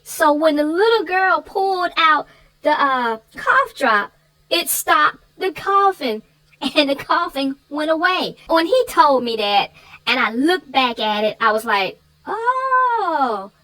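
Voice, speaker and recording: A woman book reviewer bantering casually, telling things in an animated, conversational way. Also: high-pitched, slow, rough recording.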